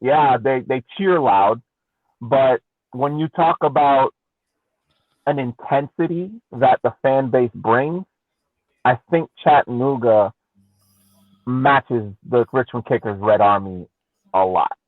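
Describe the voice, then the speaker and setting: A man co-hosting a casual soccer podcast, deliberately explaining his analysis point by point over a thin connection. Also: medium pitch, dry recording, narrow, tinny audio. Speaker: podcast co-host and soccer fan. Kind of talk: casual post-game sports analysis.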